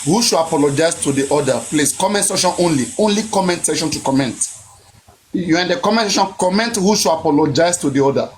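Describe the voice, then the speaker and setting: A man hosting a heated live-stream debate, cutting in with drawn-out exclamations. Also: a little high, animated, slight background noise.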